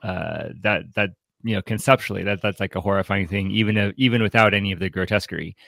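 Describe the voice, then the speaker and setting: A man hosting a podcast, talking through books casually in a halting, thoughtful, bookish way. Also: lowish voice, creaky, fairly bright tone, no echo, studio quality. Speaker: podcast host. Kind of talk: casual literary discussion.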